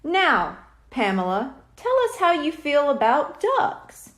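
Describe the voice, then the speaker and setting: A woman's voice: high-pitched, big pitch swings, slow pace, a bit bright, heavily acted. A woman voicing a showy male stage hypnotist in a children's story, grandly polite and commanding. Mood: coaxing, commanding.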